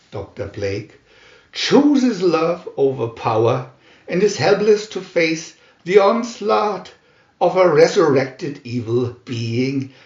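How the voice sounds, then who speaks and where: Slow, animated male storybook narrator in echoey room. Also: fairly high pitch, lo-fi sound. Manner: dramatic, deliberate comic-adventure reading with announced picture numbers. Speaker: narrator and reader.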